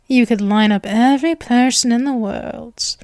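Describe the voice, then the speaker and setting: Woman acting a slow, sweetly menacing vampire girlfriend. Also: a little high, darkish tone, no room sound, studio quality. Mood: disgusted, devoted. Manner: slow, coy, cooing endearments with threatening possessiveness. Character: possessive centuries-old yandere vampire girl, sweetly menacing lover. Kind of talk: ASMR roleplay, intimate scripted monologue.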